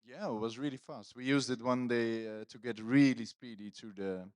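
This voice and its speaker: A man's voice: mid-range voice, fairly even intonation, moderate pace, no echo, studio quality. A man hosting a conference on stage, casually bantering and teasing the audience and his guest into a microphone. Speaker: conference host. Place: conference stage at live event. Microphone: handheld stage microphone.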